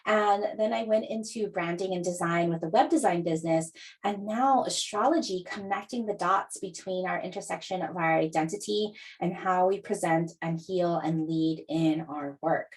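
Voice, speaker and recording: A woman's voice: lowish voice, normal speed. A middle-aged woman from Hawaii, an astrologer and podcast host, teaching a live online masterclass in an upbeat, earnest way with frequent ums. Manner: upbeat, earnest, talks with filler ums and laughs.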